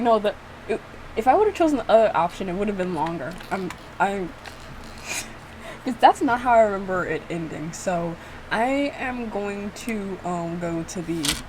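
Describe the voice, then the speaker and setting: A woman's voice: mid-range voice, background noise. A woman giving casual, rambling playthrough commentary, giggly and poking fun at herself. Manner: self-mocking, giggly, rambling. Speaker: video creator reacting to own game.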